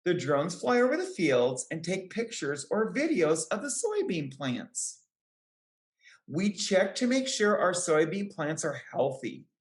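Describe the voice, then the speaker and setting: Echoey room, woman doing kids storytime read aloud, slow n careful, really up and down intonation. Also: lowish voice, big pitch swings. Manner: careful, deliberate phrasing with short explanatory asides to children. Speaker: children's book reader, extension educator. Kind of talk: picture book read-aloud for young children. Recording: roomy, echoing sound.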